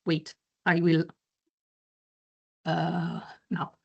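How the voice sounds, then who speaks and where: Slow, hesitant woman chairing an online meeting. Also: on the low side, monotone, creaky, darkish tone, breathy edge, dull audio. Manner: hesitant, many fillers and restarts. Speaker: chair of a museum committee.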